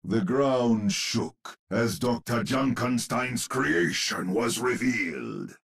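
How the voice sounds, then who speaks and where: An actor voicing an old male storyteller with a gravelly, husky voice, narrating a dark fairy tale for a game in an ominous, measured way. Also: mid-range voice, heavily acted. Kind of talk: dramatic scripted narration.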